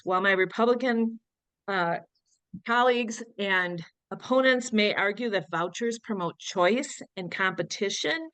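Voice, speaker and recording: A middle-aged woman with a cold, answering questions at a candidate forum in a firm, earnest, measured way. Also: mid-range voice, a bit bright, dry recording. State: has a cold, sniffly. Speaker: candidate for state senate.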